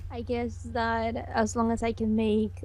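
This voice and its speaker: A woman's voice: on the high side, monotone, unhurried, mellow. A woman animator answering interview questions in an earnest way, giggling often and trailing off. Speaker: animator guest.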